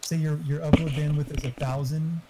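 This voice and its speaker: A man's voice: a little high, flat delivery, brisk, heavy background noise. A man talking casually and informally, explaining technical things to a friend over online voice chat. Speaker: friend giving streaming tech advice.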